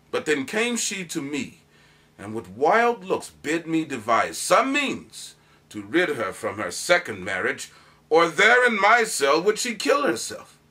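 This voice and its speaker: A man's voice: on the high side, bright, forceful tone, husky, full character voice. A man performing a grave cleric who confesses a tragedy in a solemn, declamatory Shakespearean monologue.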